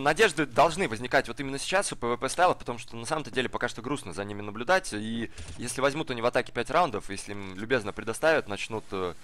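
A hoarse man commentating a live online game match in a casual, slangy way, speeding up during the action. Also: mid-range voice, fairly bright tone, a little hiss or hum. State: hoarse from the cold. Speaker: esports commentator. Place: cold flat at home.

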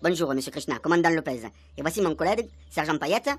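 A stern, exasperated police commander. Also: male voice, fairly high pitch, lively intonation, quick pace, slightly rough. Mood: formal, businesslike. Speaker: actor in a radio serial. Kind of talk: French radio comedy serial acting.

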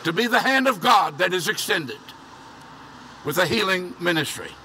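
A man's voice: high-pitched, animated, moderate pace, sharp tone, slight background noise. An older man preaching outdoors from a podium to a drive-in congregation, earnest and repeating key phrases for emphasis. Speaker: pastor. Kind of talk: sermon. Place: outdoor church parking lot. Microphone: podium mic from a distance.

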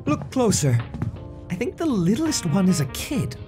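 A woman's voice: medium pitch, big pitch swings, unhurried, over music. A woman voicing a sassy, theatrical male elf wizard with a dramatic, sarcastic delivery. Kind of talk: comic dub voice acting.

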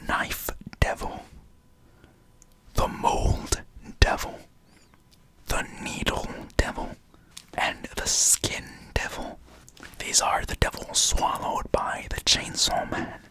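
A man in his twenties whispering breathily in an ASMR style, very close to the microphone, deliberately listing and repeating words. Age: 20s. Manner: slow, deliberate repetition of words. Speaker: ASMR video host. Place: indoor room in front of green screen. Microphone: large foam-covered microphone, very close.

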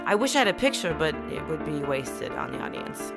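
A woman's voice: low voice, big pitch swings, fairly quick, gravelly, over loud music, heavily acted. A woman playing a worried, earnest client of a private detective in a scripted noir comedy. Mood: pleading.

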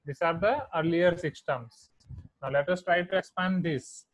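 A male maths teacher with an Indian accent runs an online class and spells out each term slowly and deliberately. His voice sits fairly high. The sound is dry and close, with no room echo. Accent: Indian English. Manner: slow, deliberate dictation of terms while writing on a whiteboard.

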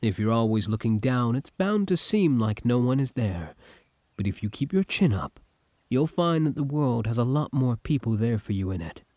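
A man's voice: medium pitch, sing-song, moderate pace, darkish tone, no room sound, lightly acted. A young man playing an obsessive, scheming villain who feigns kindness, in an intimate, theatrical audio roleplay. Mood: smoothly manipulative. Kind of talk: ASMR audio roleplay, intimate dramatic acting.

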